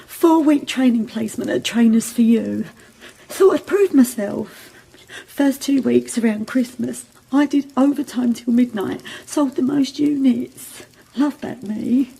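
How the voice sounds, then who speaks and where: A very husky, high-voiced woman jobseeker. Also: unhurried, warm tone, full character voice, low-quality recording. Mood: wistful, tearful. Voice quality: husky, gravelly. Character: downhearted but plucky female jobseeker, Kelly's friend. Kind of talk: naturalistic radio play acting.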